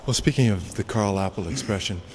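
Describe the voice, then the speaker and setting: A man interviewing a curator in a museum, asking measured, unhurried questions. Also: on the low side, a little mellow, slight background noise. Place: museum gallery indoors.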